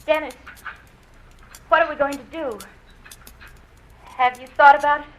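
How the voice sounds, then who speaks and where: A woman's voice: sits high, fairly even intonation, measured pace, rough recording. An actress playing an anxious, secretive young woman in love, who talks in a breathless, stammering way in 1940s radio acting style. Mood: anxious, pleading.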